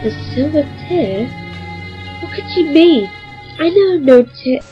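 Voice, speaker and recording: A woman's voice: sits high, lively intonation, slow. A woman playing an anxious, regal young ruler of a twilight realm in a fantasy radio play, speaking in a formal, musing, theatrical way. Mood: puzzled. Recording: dull lo-fi home recording. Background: music.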